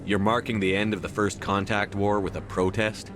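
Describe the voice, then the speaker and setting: An actor playing a stern, level-headed military commander in a science fiction game, speaking directly and with measured authority. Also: male voice, lowish voice, flat delivery, background noise, close to an everyday voice. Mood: skeptical. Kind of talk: video game dramatic dialogue. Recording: music behind the voice.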